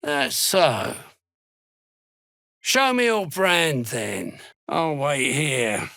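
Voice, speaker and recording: A man voicing a gruff, fanatical dark dwarf slave hunter in a video game, gravelly, curt, threatening and full of contempt. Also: a little high, big pitch swings, very slow, dry recording, full character voice. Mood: menacing, contemptuous. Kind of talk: video game character voice lines.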